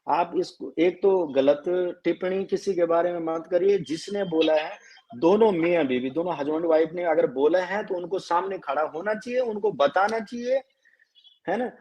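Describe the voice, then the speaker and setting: A man with a Garhwali accent, a folk singer who sings a slow song and then talks earnestly as a guest on a live stream. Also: on the high side, darkish tone. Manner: earnest, speaks quickly when explaining. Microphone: live video call. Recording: dull lo-fi live stream audio.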